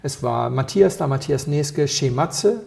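A man from Berlin chatting casually on a podcast as co-host, rambling through anecdotes with quick asides and self-corrections. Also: fairly high pitch, expressive, vocal fry, darkish tone. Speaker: podcast co-host and wine taster.

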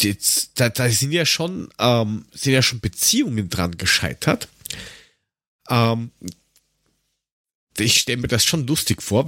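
A man's voice: medium pitch, a bit bright, no echo. A man with an Austrian accent and a mid-range voice talks casually on a podcast. He rambles and grumbles with sarcasm and coarse language. The sound is very dry, with no room to it. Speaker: podcast co-host.

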